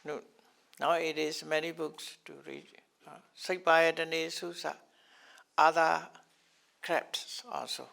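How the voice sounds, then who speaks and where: An older man who is not a native English speaker teaches scriptural terms to a class in a halting way, repeating words and chuckling often. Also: fairly high pitch, level tone, vocal fry, pushed and bright. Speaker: Buddhist teacher.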